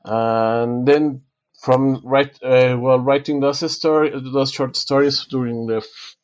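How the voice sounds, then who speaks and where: Author guest, male, Spanish native; mid-pitched, hesitant and full of restarts, muffled remote sound. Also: fairly even intonation, a little mellow. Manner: halting, many fillers and restarts. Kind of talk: casual interview talk.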